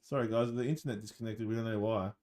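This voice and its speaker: A young man speaks quickly, with a dark, mellow tone. He keeps the chat moving with questions and playful asides on a casual podcast. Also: on the low side, steady tone. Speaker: podcast co-host.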